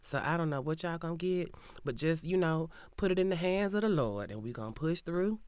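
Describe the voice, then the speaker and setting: A woman's voice: deep voice, expressive, fairly quick, a little mellow, clean tone, no room sound, studio quality. Sassy Southern woman with a cold. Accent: Southern American. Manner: sassy, chatty, full of Southern slang. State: sick with a cold, slightly nasal, coughing. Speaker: podcast host. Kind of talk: casual true crime podcast intro. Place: recording booth.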